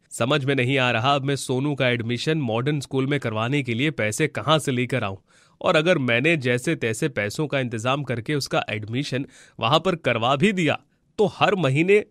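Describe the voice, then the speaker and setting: A man's voice: medium pitch, normal speed, a bit bright, no echo, studio quality, close to an everyday voice. A man voicing a fretful, good-hearted village farmer and henpecked husband in a children's moral story. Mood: worried. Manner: fretful, bewildered.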